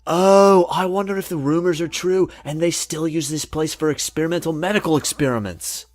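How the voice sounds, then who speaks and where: An actor voicing a laid-back, spacey young party dude who talks in slangy, unbothered fashion in a visual novel. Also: male voice, fairly high pitch, normal speed, no room sound, soft background music, close to an everyday voice. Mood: breezy, spacey.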